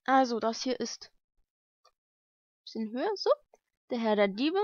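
Casting: young woman, slightly high voice, informal vlogger thinking aloud, dry lo-fi home recording. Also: lively intonation, unhurried, dry recording. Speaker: vlogger unboxing mail-order books. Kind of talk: casual unboxing commentary. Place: lived-in room at home, indoors.

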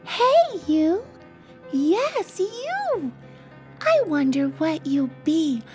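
Very high-pitched curious little girl. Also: very animated, drawn-out words, a little mellow, faint music behind, heavily acted. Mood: curious, eager. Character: curious, caring little girl named Ella.

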